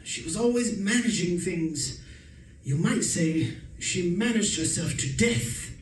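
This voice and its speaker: An actor playing a meek henpecked husband who quietly schemes, mild and slyly understated, in an old-time radio suspense style. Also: male voice, sits high, expressive, slow, slight background noise. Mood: wistful, sly. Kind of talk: old-time radio suspense acting with first-person narration. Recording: roomy, distant stage pickup, music behind.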